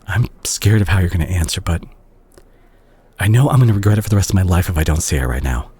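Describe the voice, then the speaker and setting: A man playing a remorseful, tender doctor and ex-boyfriend in an intimate roleplay audio drama, speaking softly and hesitantly with a husky voice. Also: low-pitched, expressive, full character voice. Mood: nervous, hopeful. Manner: hesitant, soft-spoken, halting.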